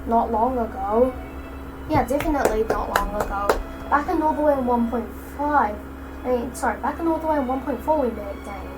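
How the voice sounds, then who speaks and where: A woman's voice: on the high side, a little fast, heavy background noise. A woman playing a video game, making sarcastic asides. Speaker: gamer commenting on her playthrough. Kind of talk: casual gaming commentary. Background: game music.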